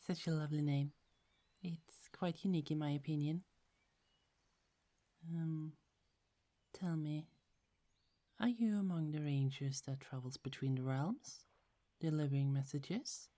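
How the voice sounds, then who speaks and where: A woman voicing a gentle, chatty young goddess in an ASMR roleplay, speaking softly with frequent little laughs. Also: low-pitched, a little slow, long pauses. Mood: friendly. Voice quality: soft, half-whispered, giggly.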